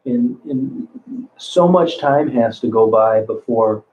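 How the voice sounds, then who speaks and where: A man's voice: medium pitch, slow, darkish tone. A man in his sixties chatting casually on a livestream, rambling, cursing freely and often restarting his sentences. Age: 60s. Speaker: livestream host reading chat. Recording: phone-like narrow sound.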